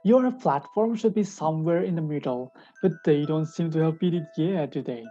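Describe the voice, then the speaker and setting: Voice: mid-range voice, normal speed, mellow, natural voice. A reader voicing a nasty, mocking middle-aged uncle in a storybook, sneering and taunting. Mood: gloating. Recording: dull recording with faint music.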